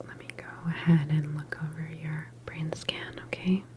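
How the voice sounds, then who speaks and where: A woman performing an ASMR medical roleplay as a calm government doctor, speaking in a deliberate, reassuring clinical manner. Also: sits low, background noise. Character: calm female government doctor examining a patient.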